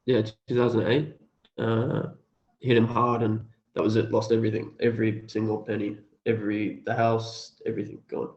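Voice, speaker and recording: A man's voice: lowish voice, monotone, moderate pace, a little mellow, muffled recording. A man, chatting in a laid-back, understated way as an interview guest. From Australia. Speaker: cafe owner and interview guest. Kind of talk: casual interview chat.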